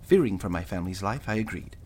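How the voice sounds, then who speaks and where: An actor playing an anxious servant reading out his secret confession in a radio mystery, in a grave, measured way. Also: low-pitched, expressive, slightly rough. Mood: grave, resolute. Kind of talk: radio mystery drama, narrated written confession.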